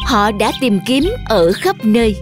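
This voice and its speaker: Lady storyteller for kids, very animated, slightly high voice, music playing behind her. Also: unhurried. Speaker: storyteller, narrator. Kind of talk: scripted children's fairy-tale narration.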